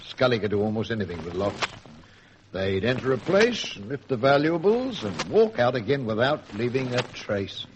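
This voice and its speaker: A man playing an older, avuncular British police sergeant in a vintage radio drama, with a gruff, colloquial storytelling manner. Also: medium pitch, sing-song, moderate pace, natural voice. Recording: noisy old radio recording.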